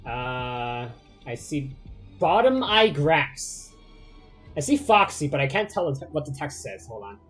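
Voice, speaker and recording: A man's voice: fairly high pitch, very animated, slow, a bit bright, soft background music. A man streaming a game, chatty and self-mocking, often trailing off and repeating words as he reacts. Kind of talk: casual game commentary.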